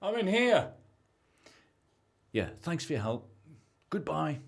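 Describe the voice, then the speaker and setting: A young man plays a selfish British husband who believes he is rich, in an amateur radio play. He is excitable and impatient, with a very lively, varied pitch. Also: slow, vocal fry, heavily acted. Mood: offhand.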